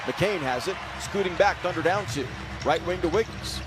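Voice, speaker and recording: A man's voice: high voice, normal speed. An American man giving live basketball radio play-by-play in clipped present-tense phrases. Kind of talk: live sports commentary.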